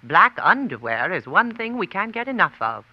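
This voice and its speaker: An actor playing a boastful luxury shop merchant in a 1940s radio vignette. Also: male voice, high voice, big pitch swings, normal speed, dry recording. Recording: dull vintage radio recording.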